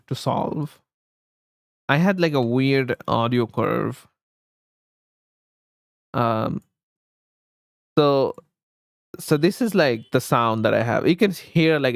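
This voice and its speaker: Guy chatting on stream, slow n halting, repeats himself, close dry mic. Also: medium pitch, vocal fry, no echo. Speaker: live streamer and host. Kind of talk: casual livestream chat with viewers. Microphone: close streaming microphone.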